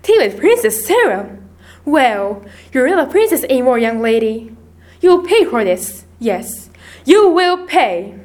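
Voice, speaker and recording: A woman storyteller puts on a stern, angry older mistress from a storybook. She scolds coldly and with menace, in a high voice. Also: big pitch swings, unhurried, darkish tone. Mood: angry, threatening.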